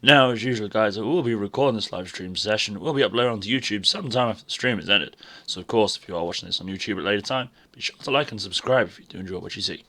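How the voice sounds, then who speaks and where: Hoarse, low-voiced male game streamer. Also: fast talker, hardly any pauses, pushed and bright. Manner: chatty, self-deprecating, rambling asides. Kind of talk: casual live-stream chat.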